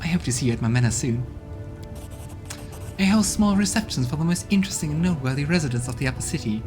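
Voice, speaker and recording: A man's voice: a little high, animated, fast talker, close to an everyday voice. A man voicing a wistful, seductive succubus lady. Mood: flirtatious. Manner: musing, softly persuasive. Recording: background game music.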